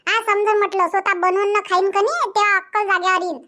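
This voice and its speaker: A woman's voice: very high-pitched, little pitch movement, fast talker, pushed and bright. A woman voicing a stubborn, grumbling young village mother in a cartoon comedy, chattering emphatically with a Vidarbha Marathi accent.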